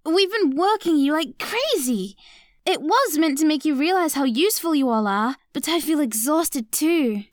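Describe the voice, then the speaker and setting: High-voiced, eager schoolgirl. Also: lively intonation, normal speed, no echo, clean studio sound. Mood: apologetic, caring. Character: kind, eager schoolgirl in a family of robots. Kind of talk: children's audio drama acting.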